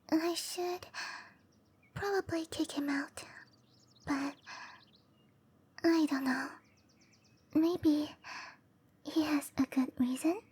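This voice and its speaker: Woman voicing a soft, high-pitched dryad girl. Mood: puzzled, musing. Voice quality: soft, breathy, often whispered. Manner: musing to herself, slow and tender. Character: gentle cherry blossom dryad girl, sweet and caring. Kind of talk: ASMR roleplay.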